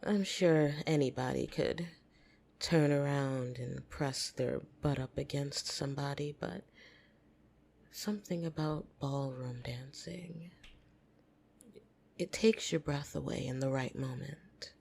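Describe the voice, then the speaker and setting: Female vampire, played by a woman, ASMR roleplay. Very low, unhurried, fond and possessive, soft teasing whispers. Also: slight background noise, exaggerated voice. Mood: playful, teasing. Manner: soft, breathy, teasing, often dropping into whispers. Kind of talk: intimate ASMR roleplay, scripted monologue to listener. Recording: music behind the voice.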